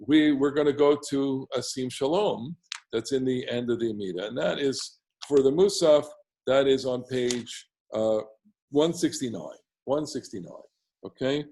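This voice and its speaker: Chatty baritone man teaching a class. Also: normal speed, a little mellow. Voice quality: baritone bass voice. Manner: rambling, with many restarts and asides. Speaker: teacher of synagogue prayer melodies. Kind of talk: casual online class. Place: home.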